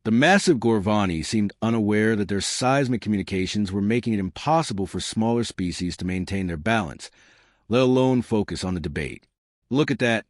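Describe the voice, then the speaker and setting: A man's voice: fairly low pitch, animated, a little fast, no echo, clean studio sound. A man reading a scripted science fiction story as its narrator.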